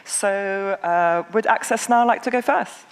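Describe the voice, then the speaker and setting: A woman from England, moderating a conference panel in a brisk, friendly way with frequent ums. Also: mid-range voice, rough recording. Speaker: session moderator.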